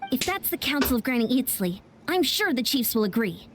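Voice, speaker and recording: A woman playing a composed, dutiful military strategist in a video game, speaking in a measured, formal way. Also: high voice, very animated.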